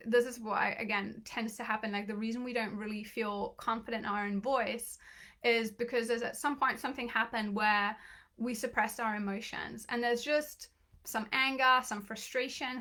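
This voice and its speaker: Woman coach, bright and fairly high voice, slightly croaky, chatty and encouraging with lots of restarts. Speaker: business coach. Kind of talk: live online training.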